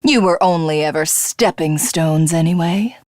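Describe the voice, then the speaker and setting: A haughty, slow-speaking tyrant queen. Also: low-pitched, a little mellow, dry recording, clean studio sound, faint music behind. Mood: smug, menacing. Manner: haughty, theatrical, contemptuous. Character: megalomaniacal female alien tyrant craving godhood. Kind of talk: video game villain voice acting.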